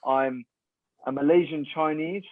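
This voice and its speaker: Man, guest speaker, measured, articulate, tinny phone-like sound, dry. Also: fairly high pitch, steady tone, no echo. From United Kingdom. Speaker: guest, keynote speaker and coach. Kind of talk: calm interview storytelling.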